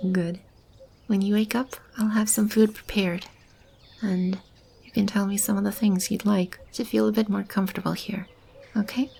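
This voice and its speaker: A woman playing a caring female demon in an ASMR roleplay, speaking softly and soothingly, often close to a whisper. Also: mid-range voice, level tone, measured pace, a little hiss or hum. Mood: soothing, hushed. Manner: soothing, tender, intimate and reassuring. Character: gentle, caring female demon sheltering animals and a lost child. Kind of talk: ASMR roleplay, soft-spoken with stretches of whispering. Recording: clearly audible ambient animal and bird sounds. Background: animal and bird ambience.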